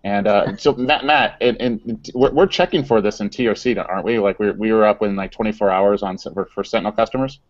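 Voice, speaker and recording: A man co-hosting a casual tech chat, talking haltingly and often repeating words. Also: on the low side, fairly even intonation, lo-fi sound. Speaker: co-host.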